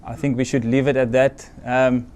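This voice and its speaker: A middle-aged South African man for whom English is a second language, answering interview questions in a modest, hesitant way with many pauses. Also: medium pitch, flat delivery, a little mellow, clear, resonant tone. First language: Afrikaans or other non-English language. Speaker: team doctor, guest.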